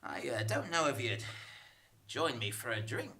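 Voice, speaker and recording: A woman's voice: very deep voice, lively intonation, normal speed, bright, forceful tone, exaggerated voice. A woman playing a bumbling, bashful cowboy drifter with stammering bravado in a comic space western radio play. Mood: flustered, smitten. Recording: faint noise, live theatre performance.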